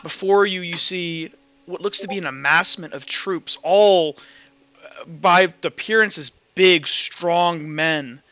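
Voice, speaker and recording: Bright-voiced man running a tabletop game. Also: sits high. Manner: halting, repeats and restarts phrases. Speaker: game master. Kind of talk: improvised tabletop game narration. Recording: muffled online voice call with background music.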